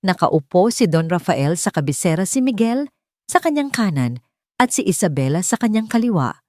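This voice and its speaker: Female audiobook narrator, lowish pitch, dramatic scripted storytelling, dry studio-like sound. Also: big pitch swings, moderate pace, darkish tone, no room sound.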